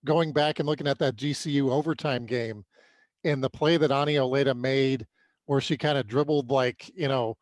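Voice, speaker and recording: A man's voice: medium pitch, fairly even intonation, normal speed, no room sound. Man with mid-range voice, dry sound. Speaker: sports podcast co-host. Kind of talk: conversational sports commentary.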